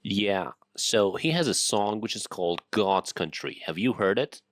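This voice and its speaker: Iranian male host, Persian native, low voice, dry studio re-record. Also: expressive, measured pace, no echo. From Iran. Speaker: English teacher and host. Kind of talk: casual interview.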